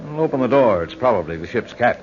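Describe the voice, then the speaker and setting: An actor playing a steady, authoritative ship's captain in old-time radio horror style, measured and commanding. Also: male voice, mid-range voice, natural voice. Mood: calm, dismissive. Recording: muffled vintage radio recording, faint noise.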